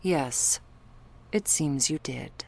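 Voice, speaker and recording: Vampire bodyguard, played by a woman: deep female voice, unhurried, guarded and menacing, intimate ASMR roleplay delivery. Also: vocal fry, darkish tone, exaggerated voice. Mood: stern, dismissive. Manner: cool, stern, clipped, often dropping to a whisper.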